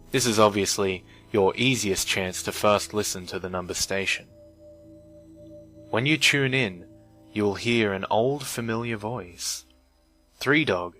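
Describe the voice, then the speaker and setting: Low-pitched male creepypasta narrator, measured and deliberate, music underneath, slightly noisy old mic. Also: sharp tone. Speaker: horror story narrator. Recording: odd noise from an old microphone. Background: background music.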